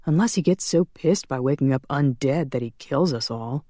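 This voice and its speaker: A woman's voice: extremely low pitch, normal speed, mellow, dry recording, studio quality. A woman narrator voicing a slangy young male vampire who mutters and grumbles in an audiobook. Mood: grumbling.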